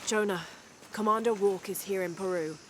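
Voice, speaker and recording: A woman playing a young, determined archaeologist adventurer in a video game, thinking aloud in a measured, earnest way. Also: medium pitch, fairly bright tone, slight background noise, lightly acted. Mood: urgent, investigating. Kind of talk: video game cutscene acting, murmured reasoning and radio reports. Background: music.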